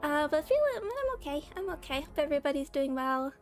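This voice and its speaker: Chatty high-voiced woman streamer, a bit scratchy. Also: big pitch swings, brisk, soft background music. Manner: chatty, giggly, greets viewers by name. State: slightly scratchy from a cold. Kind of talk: casual live-stream chat while gaming.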